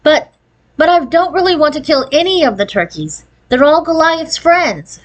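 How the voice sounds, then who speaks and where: Woman voicing an earnest, higher-pitched little girl. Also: animated, moderate pace. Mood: worried. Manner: pleading, emphatic. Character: earnest, stubborn young girl who loves a turkey.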